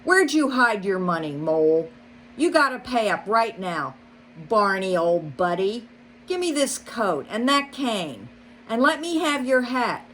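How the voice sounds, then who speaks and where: A woman's voice: on the high side, very animated, measured pace, a bit bright, slight background noise, pitched above the performer's own voice. Freddy the Fox, a woman doing a sneering bully. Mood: menacing. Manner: sneering, barking orders. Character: greedy sly male fox shopkeeper, bullying.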